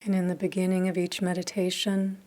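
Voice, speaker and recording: A woman leading a guided meditation, speaking softly and calmly with long pauses between phrases. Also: lowish voice. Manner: slow, soft, calm with long pauses. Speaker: meditation teacher.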